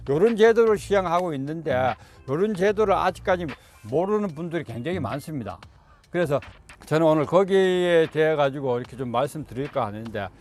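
A middle-aged man, explaining farming tips to viewers in a polite, rambling, colloquial way. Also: fairly high pitch. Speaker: video host giving farming tips. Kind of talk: informal explanatory monologue for viewers.

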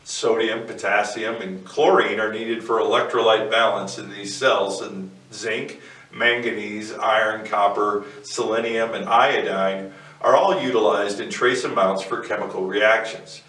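A man in his forties reads a prepared explainer in a calm, measured way, his voice sitting fairly low. He sounds a little far from the mic, with a roomy echo and a faint hiss behind him. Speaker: co-host. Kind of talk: scripted educational explainer. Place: indoor studio set with barn-wood backdrop.

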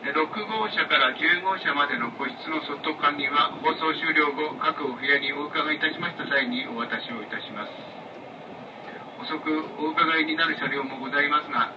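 Male train conductor, formal announcement style, slightly high voice, distant loudspeaker, clear train noise behind. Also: normal speed, highs cut off. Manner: formal, polite, reading out stops and times. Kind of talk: onboard train public-address announcement. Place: inside a moving sleeper train, over loudspeaker. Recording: distant, noisy train PA captured in carriage. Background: train rumble and noise.

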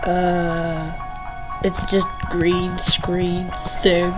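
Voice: medium pitch, completely flat delivery, drawn-out words, rough recording. A young video creator giving casual gaming commentary, rambling playfully and stumbling over words. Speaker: young video creator showing game cutscenes. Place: indoor room at home. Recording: home screen recording with music behind.

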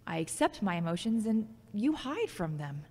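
A woman's voice: medium pitch, big pitch swings, moderate pace, a little mellow, slightly rough. An actress playing a frank, logical, critical yet caring former girlfriend in a scripted audio drama. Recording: long hall-like reverb.

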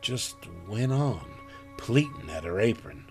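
Rural farmer witness, folksy, halting, slow, very varied pitch, audio drama. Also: male voice, lively intonation, a little mellow, soft background music. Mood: unsettled. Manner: folksy, hesitant, halting storytelling. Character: plain-spoken rural farmer, a witness recounting events. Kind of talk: live audio stage drama.

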